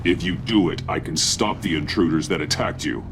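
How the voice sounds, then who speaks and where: A man playing a grim, brooding vigilante in a video game, gravelly-voiced, terse and stern. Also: low voice, normal speed, music in the background. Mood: grim, focused. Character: grim masked adult male vigilante hero, brooding and commanding.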